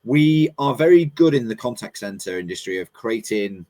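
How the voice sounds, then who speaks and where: A man's voice: mid-range voice, expressive, moderate pace, mellow. A man hosting a webinar panel on a video call, asking questions with a lot of hesitant restarts and fillers. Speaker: webinar host. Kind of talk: moderating a panel discussion.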